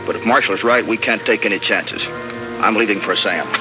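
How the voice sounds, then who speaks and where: An actor playing a steady American lieutenant in a 1950s radio drama, crisp and matter-of-fact. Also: male voice, lowish voice, brisk, lightly acted. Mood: reassuring, urgent. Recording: old radio recording, faint music.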